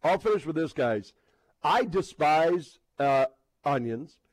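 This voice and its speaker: A man's voice: a little high, expressive, takes it slowly, dry recording, low-quality recording. A man hosting a live sports talk show, speaking casually in an emphatic, jocular way. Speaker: sports radio host and play-by-play announcer.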